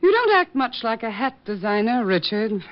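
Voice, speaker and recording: Sultry, teasing model, lively voice, lo-fi vintage radio. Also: female voice, on the high side, moderate pace. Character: coolly flirtatious female model. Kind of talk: 1950s radio acting. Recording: dull lo-fi old radio broadcast.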